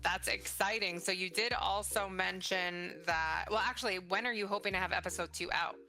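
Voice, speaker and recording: A woman's voice: mid-range voice, normal speed, sharp tone, faint music behind. A middle-aged woman interviewing in a conversational, encouraging and supportive manner.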